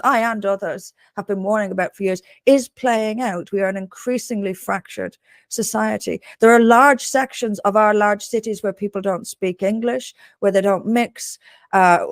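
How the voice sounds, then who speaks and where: A woman's voice: mid-range voice, dry recording, clean studio sound. A woman from Dublin with an Irish accent, giving earnest political commentary as a guest in a live discussion. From Dublin, Ireland. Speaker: interview guest, political activist.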